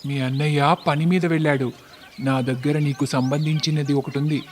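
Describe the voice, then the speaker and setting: A man's voice: on the high side, steady tone, moderate pace, a little hiss or hum, lightly acted. A man voicing a lecherous, scheming young village blackmailer in a cartoon moral story, leering and insinuating. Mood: sly, menacing.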